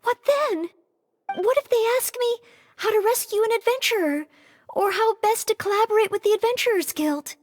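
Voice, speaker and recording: A woman's voice: very high-pitched, normal speed, no echo, clean studio sound. A woman voicing an earnest, anxious, polite young maid in a video game, studious and fretful in manner. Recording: background music behind voice.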